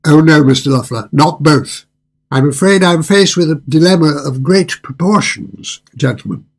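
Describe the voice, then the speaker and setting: Radio drama restaurateur, played by an older man: husky, higher-set male voice, unctuous, courtly and quietly controlling. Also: moderate pace. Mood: smooth, courtly. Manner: formal, courtly, theatrical.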